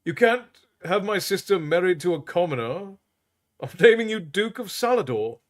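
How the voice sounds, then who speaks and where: A man voicing a cheerful, teasing young king with a raised, lively delivery. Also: high voice, normal speed, pitched above the performer's own voice. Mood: jovial.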